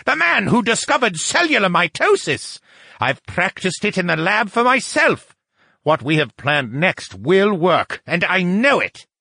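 Male narrator as snooty rival scientist for an audiobook: raised pitch, swooping intonation, scornful and easily stung. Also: big pitch swings, normal speed, a bit bright, husky, dry recording. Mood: shrill, defensive. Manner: mocking, scornful, shrill when provoked. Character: haughty, touchy, strange-looking male scientist rival. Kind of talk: audiobook character acting.

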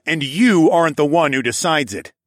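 A man's voice: mid-range voice, lively intonation, a little fast, a bit bright, no room sound, clean studio sound, pitched above the performer's own voice, lightly acted. A man playing a defiant, sardonic male hero who argues and snarls in a fantasy audiobook. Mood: sardonic, firm. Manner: snarling, mocking, argumentative.

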